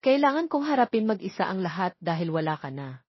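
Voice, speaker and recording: A woman's voice: medium pitch, big pitch swings, brisk, slightly rough, no echo, muffled recording. A woman voicing a hurt, tearful young mother in an emotional, pleading way for an audio romance drama. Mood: tearful, sorrowful.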